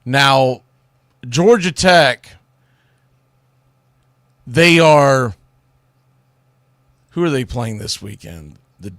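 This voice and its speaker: A man's voice: mid-range voice, expressive, drawn-out words, long pauses, fairly bright tone, no echo, studio quality. A man hosting a solo sports talk show, giving commentary in an informal, opinionated way.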